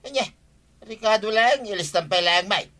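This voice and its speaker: A man's voice: high voice, normal speed, bright, forceful tone. A man playing a lazy, grumbling, hard-drinking village husband with an Ilocano accent in a radio comedy drama, whiny and complaining. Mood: irritated.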